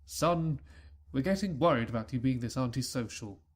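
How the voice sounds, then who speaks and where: A man's voice: mid-range voice, expressive, moderate pace, lightly acted. A man voicing a stern, worried father stallion who lectures his son, in a fan audio reading. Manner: earnest, lecturing.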